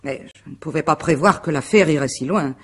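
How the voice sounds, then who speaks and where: An actress playing a composed, proud married woman under interrogation in a radio mystery drama, speaking in a measured, formal way. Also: sits low, a bit bright, breathy edge, exaggerated voice. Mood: composed, self-justifying.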